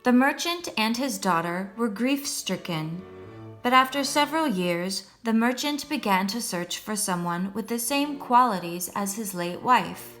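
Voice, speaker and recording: A woman reading a children's story aloud as a storyteller, in a measured, expressive way meant for young listeners. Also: on the high side. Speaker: storyteller, first-grade teacher. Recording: music behind the voice.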